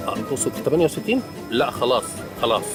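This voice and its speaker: A man's voice: mid-range voice, big pitch swings, very fast, over loud music, lightly acted. A man playing an anxious, grumbling, simple-minded middle-aged sidekick in a comic cartoon, with an Egyptian accent. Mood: puzzled, grumbling.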